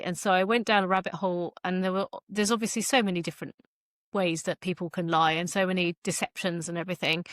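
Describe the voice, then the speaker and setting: A woman with a fairly low voice talks as a guest author on an interview show. Her delivery is casual and chatty, thinking aloud as she answers. The recording is very dry, with no room sound. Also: dry recording.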